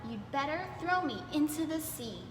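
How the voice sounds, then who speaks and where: A woman's voice: high voice, big pitch swings, moderate pace, music in the background. A woman voicing a grumpy, sulky male prophet from a children's Bible storybook, with emphatic grumbling. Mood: glum. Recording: echoey reverberant room with clear background noise.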